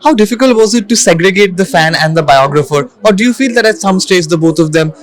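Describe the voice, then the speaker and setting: Fast-talking guy interviewing at a lit fest, smooth and polished questions. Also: high-pitched, expressive. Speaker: festival interviewer. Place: literature festival venue.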